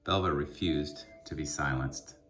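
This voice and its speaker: Low-voiced man reading a children's storybook. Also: unhurried, a bit bright. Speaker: school principal reading a children's book aloud. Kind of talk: expressive children's storybook read-aloud.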